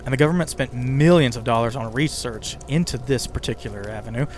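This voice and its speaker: A man's voice: mid-range voice, fairly quick, darkish tone. A man hosting a podcast with conversational scripted narration, slipping in casual asides to the listener. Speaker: podcast host and writer. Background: ambient music bed with crackling fire sounds.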